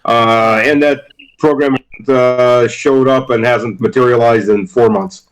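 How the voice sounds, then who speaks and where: A middle-aged man, a former army officer, talking as an interview guest in a halting way with frequent restarts. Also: mid-range voice, fairly even intonation, poor audio. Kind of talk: casual interview chat.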